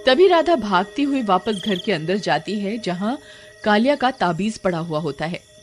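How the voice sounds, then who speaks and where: A woman's voice: medium pitch, big pitch swings, normal speed. A woman narrating a scripted cartoon horror story as the storyteller. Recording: music behind the voice.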